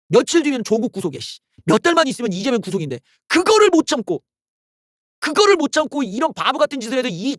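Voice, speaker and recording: Heated, high-pitched male political ranter. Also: fairly bright tone, no echo, clean studio sound. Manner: heated and sarcastic, exclaiming and cursing. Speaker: political YouTube host. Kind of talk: solo political commentary rant.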